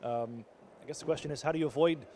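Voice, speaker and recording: A man's voice: fairly high pitch, fast, a little mellow, slight background noise. A man working as a team reporter, asking long, rambling postgame questions full of restarts.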